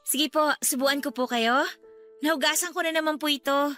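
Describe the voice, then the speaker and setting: A very high, bright young girl's voice, earnest and emotional. She is a devoted, respectful teenager in a radio drama, with music underneath. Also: steady tone, normal speed. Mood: cheerful. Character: devoted, pleading teenage girl caring for her sick nanny. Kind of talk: Tagalog radio drama acting.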